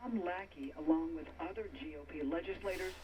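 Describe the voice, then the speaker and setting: A man's voice: fairly high pitch, brisk, darkish tone. A man reading a radio news report, played back through a vintage radio set. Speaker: news reader. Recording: distant, noisy vintage radio playback.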